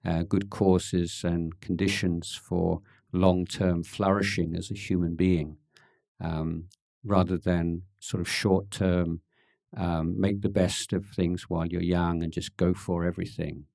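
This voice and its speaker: A man, a Buddhist monk, answering questions at a meditation retreat in a thoughtful, halting way, with dry gentle humor and many pauses and ums. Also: low voice, level tone, gravelly, no room sound. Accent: English. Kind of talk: relaxed Dhamma Q&A talk.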